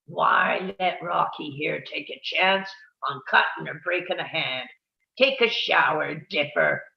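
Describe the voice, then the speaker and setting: An actor reading a gravelly old boxing trainer. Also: medium pitch, a little slow. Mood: urgent. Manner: blunt, pleading. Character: gruff old male boxing trainer, protective. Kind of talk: live online table read. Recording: roomy, dull lo-fi sound.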